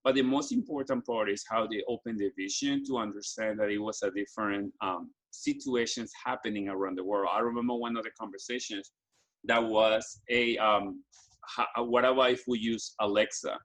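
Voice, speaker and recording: Earnest Ecuadorian-born man, halting delivery. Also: on the low side, steady tone, fairly bright tone, low-quality recording. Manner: halting, frequent restarts and fillers, earnest. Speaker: business school program staff presenting study abroad. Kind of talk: presentation to students with slides.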